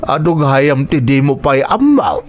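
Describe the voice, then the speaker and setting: A man's voice: medium pitch, very animated, a little slow. A man with an Ilocano accent playing a gossipy older man in an audio drama, confiding and teasing with hinting interjections. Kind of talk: dramatized audio serial acting.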